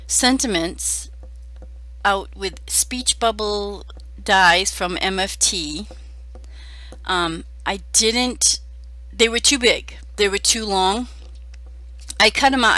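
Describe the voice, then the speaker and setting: Hoarse, chatty woman crafter. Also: mid-range voice, pushed and bright, dry recording. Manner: chatty and self-deprecating, many fillers. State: hoarse from a cold. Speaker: card-making crafter. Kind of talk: casual crafting voice-over.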